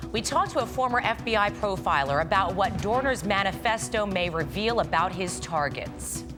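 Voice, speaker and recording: A woman's voice: medium pitch, animated, moderate pace, music in the background. A woman anchoring a television newscast in a scripted presenting style. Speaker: news anchor. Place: TV news studio.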